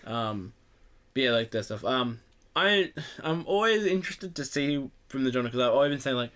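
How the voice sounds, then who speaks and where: A man's voice: mid-range voice, lively intonation, normal speed, a bit bright. A man hosting a podcast in casual, opinionated group chat, rambling and swearing freely. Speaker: podcast host.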